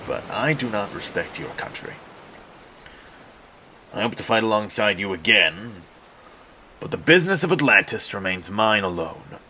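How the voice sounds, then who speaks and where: A man playing a proud, stern king of an undersea realm in a superhero audio drama, speaking formally and deliberately. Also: on the low side, bright, forceful tone, a little hiss or hum, full character voice, poor audio. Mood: proud, firm.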